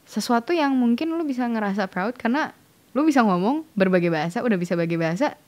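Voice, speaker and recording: A woman's voice: on the high side, animated, rapid-fire, a little mellow. A young woman from Indonesia, hosting a casual podcast. She laughs easily and talks in a thoughtful, reassuring way. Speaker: podcast host.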